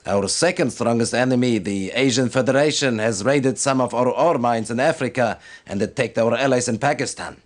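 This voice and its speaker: A man plays a stern military commander briefing his officers in a video game. His mid-range male voice is solemn and devout. He speaks formally and deliberately, as one used to giving orders. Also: medium pitch, close to an everyday voice. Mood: grave, measured.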